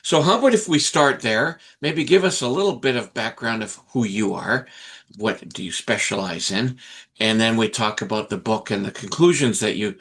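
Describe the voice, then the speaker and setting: A man's voice: fairly low pitch, moderate pace, a bit bright, husky. A man hosting a casual interview, talking with many fillers and restarts. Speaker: interviewer host. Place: Vancouver Island.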